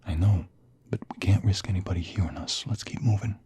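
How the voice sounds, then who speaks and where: Man acting a husky, desperate, protective mobster. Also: steady tone, fairly quick, warm tone. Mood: hushed, urgent. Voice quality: husky, breathy, gravelly low voice. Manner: intense, emotional, often dropping to whispers. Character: desperate, protective young mobster pleading for his beloved. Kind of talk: ASMR romance audio roleplay acting.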